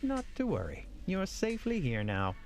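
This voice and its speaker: A suave, deferential hotel manager for an audio drama. His delivery is theatrical and extremely animated in pitch, with noticeable fry. Faint music plays behind him. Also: moderate pace, heavily acted. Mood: smooth, reassuring. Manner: formal, courteous, theatrical.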